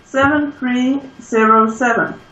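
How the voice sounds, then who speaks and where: A man chatting casually during a co-op game in a drawn-out, unhurried way. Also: very high voice, steady tone, pushed and bright. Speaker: co-op player. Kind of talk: casual chat during gaming. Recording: roomy, dull voice chat.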